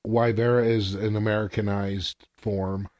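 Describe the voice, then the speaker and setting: A man's voice: on the low side, level tone, measured pace, a little mellow, dry recording. A man co-hosting a fan podcast, casual, agreeable and easygoing. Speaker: podcast co-host. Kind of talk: casual chat.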